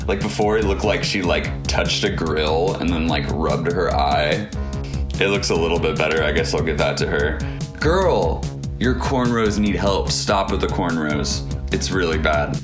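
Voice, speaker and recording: Male podcast co-host, low register, camp and bawdy, irreverent casual commentary, prominent music bed. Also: measured pace. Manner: campy, bawdy, catty, swears freely. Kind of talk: irreverent wrestling recap, casual chat. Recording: loud music under the voice.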